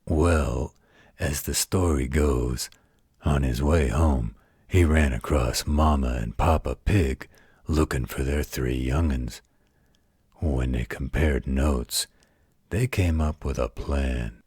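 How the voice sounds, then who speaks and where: An extremely low, heavily rasping werewolf played by a man, gruff but gentle and protective. He speaks in a folksy, unhurried way, as in a bedtime roleplay audio, close and dry. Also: no room sound, heavily acted. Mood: storytelling. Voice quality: deep, gravelly, husky. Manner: folksy, slow, patient drawl with colloquial turns.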